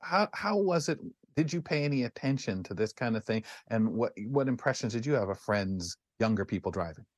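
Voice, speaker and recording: A man's voice: mid-range voice, animated, fairly quick, dry recording, studio quality. A man hosting a casual panel chat in a rambling, conversational way. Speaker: talk show host.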